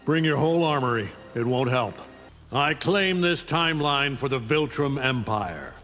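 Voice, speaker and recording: A man's voice: mid-range voice, expressive, moderate pace, fairly bright tone, long reverb tail, faint music behind, lightly acted. A man voicing an arrogant, menacing alien conqueror in a video game, with a contemptuous, commanding manner. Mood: taunting. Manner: contemptuous, taunting, coldly commanding. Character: arrogant, menacing alien conqueror, a middle-aged superhuman father. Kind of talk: video game voice acting, fight intro taunts.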